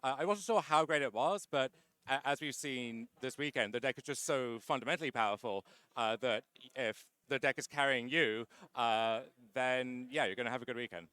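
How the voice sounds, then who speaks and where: A man's voice: medium pitch, moderate pace, bright, forceful tone, smooth, no room sound. A man being interviewed after winning a card tournament, chatty and wry, with lots of "uh" fillers. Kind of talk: casual post-match interview.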